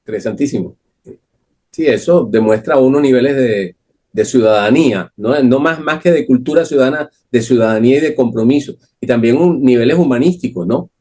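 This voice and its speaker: Husky, mellow-voiced male interviewer. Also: mid-range voice, fairly quick. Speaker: journalist interviewer. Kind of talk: interview replies.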